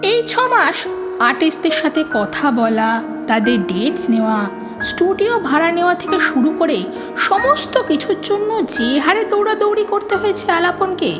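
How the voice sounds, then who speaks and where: High-pitched woman narrating a horror story. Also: expressive, moderate pace. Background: prominent background music.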